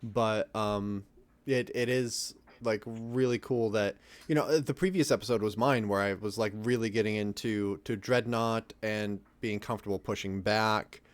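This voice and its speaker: A man's voice: lowish voice, fairly even intonation, moderate pace. A man, a voice actor, talking casually in a group commentary on an audio drama.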